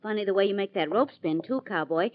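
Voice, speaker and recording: An actress playing an eager, chatty detective's companion in 1940s radio drama style. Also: female voice, mid-range voice, quick pace, natural voice. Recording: muffled old radio recording.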